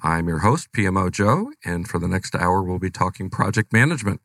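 Deep, gravelly male radio host. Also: a little fast, dry recording, clean studio sound. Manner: relaxed, rambling, many fillers. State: has a cold. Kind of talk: casual radio talk. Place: radio studio.

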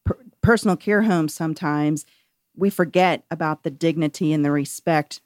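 Female radio host, lower voice, conversational, dry booth sound. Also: moderate pace, darkish tone, no echo. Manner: friendly and conversational, with fillers. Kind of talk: hosting a radio interview. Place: radio studio.

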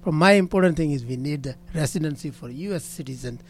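Physician answering in short blunt statements. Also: male voice, on the high side, fast talker. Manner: short, blunt statements, often opens with "see". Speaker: physician, medical association president. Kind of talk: interview answers.

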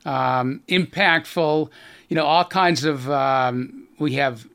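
Earnest Boston-accented man, slightly high. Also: gravelly, fairly bright tone, smooth. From Boston area, USA. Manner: earnest, halting, many restarts and fillers. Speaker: guest. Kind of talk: radio interview.

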